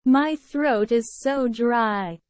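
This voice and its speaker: A woman reading English phrases in a measured way for learners to repeat. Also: on the high side, no echo. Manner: measured, clear drilling. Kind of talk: slow repeated phrase drills.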